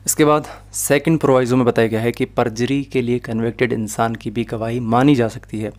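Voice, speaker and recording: Brief: male online law tutor, monotone delivery, plain explanatory lecture. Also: mid-range voice. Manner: mixes English into explanations, steady explaining tone. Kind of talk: lecture over animated slides.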